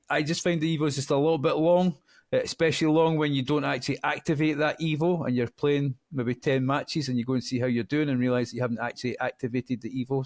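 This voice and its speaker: A man co-hosting a gaming podcast, chatty and good-humoured, talking in a measured way. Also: on the high side, no room sound. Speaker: podcast co-host. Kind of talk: casual gaming podcast chat.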